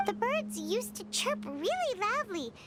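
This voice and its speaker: A woman voicing a tiny, excitable female fairy companion in a video game, chatty and eager. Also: very high-pitched, measured pace, loud music behind the voice, exaggerated voice. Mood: curious. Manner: chirpy, eager, exclaiming. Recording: loud game sound effects behind.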